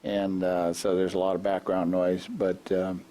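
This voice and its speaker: A man's voice: low-pitched, completely flat delivery, a little slow, mellow. A man at a council meeting speaking informally and rambling, with frequent hesitations. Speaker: council member. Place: council chamber.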